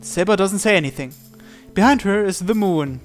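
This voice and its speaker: A man's voice: sits high, lively intonation, moderate pace, faint music behind. A man narrating a visual novel in the first person, reading from the script.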